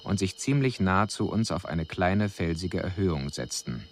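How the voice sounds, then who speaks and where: An actor playing a detached alien envoy who delivers a formal, precise, clinical report in a radio drama. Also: male voice, deep voice, lively intonation, normal speed, a little hiss or hum. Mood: detached, grave.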